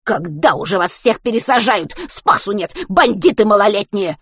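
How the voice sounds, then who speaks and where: A woman's voice: mid-range voice, expressive, moderate pace, fairly bright tone, breathy edge, no echo, studio quality, pitched above the performer's own voice. A woman voicing a hostile, suspicious elderly woman in an audiobook, scolding in outraged exclamations.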